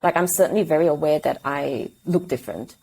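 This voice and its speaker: A woman in her thirties from Singapore, talking as a podcast guest. Her voice is mellow and slightly low, and she speaks reflectively, pausing and correcting herself as she goes. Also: slightly rough, low-quality recording. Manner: reflective, hesitant, self-correcting. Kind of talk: casual interview.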